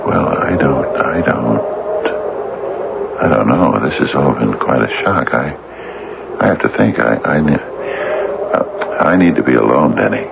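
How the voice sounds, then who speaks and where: Very deep, gravelly, flustered older gentleman. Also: expressive, very noisy, exaggerated voice. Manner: halting, stammering, hesitant. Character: bewildered, timid, fussy older gentleman. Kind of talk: vintage radio drama acting and narration. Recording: dull old radio recording, loud music.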